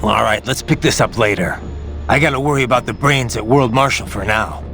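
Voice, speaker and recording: An actor playing a grim, weary, duty-bound cyborg soldier in a video game, with a gravelly voice and brooding, terse manner. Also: male voice, medium pitch, a little fast, fairly bright tone, soft background music. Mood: serious, wry.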